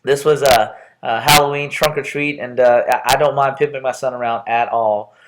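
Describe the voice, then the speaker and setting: A man's voice: medium pitch, steady tone, normal speed, poor audio. Playful American man introducing himself to students. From Texas, United States. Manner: playful, chatty, often stopping and restarting. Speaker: college professor. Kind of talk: informal self-introduction lecture for an online class.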